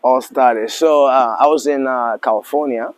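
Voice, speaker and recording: Tanzanian guy in his 40s, interview guest, relaxed, uh-heavy, keeps restarting, mid voice. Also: medium pitch, normal speed, no room sound. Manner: hesitant, many fillers and restarts. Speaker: interview guest, lodge owner. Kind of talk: casual interview talk.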